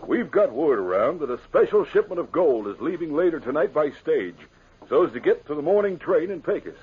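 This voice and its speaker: An American actor playing a hearty, take-charge Old West sheriff, folksy and authoritative, in a 1950s radio western. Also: male voice, on the high side, big pitch swings, a little fast, breathy edge, phone-quality sound, heavily acted. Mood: brisk, commanding.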